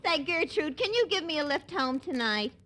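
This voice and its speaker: Chatty switchboard workmate, animated pitch, dry delivery, noisy old radio recording. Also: moderate pace. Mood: gossipy. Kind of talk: 1950s radio comedy acting.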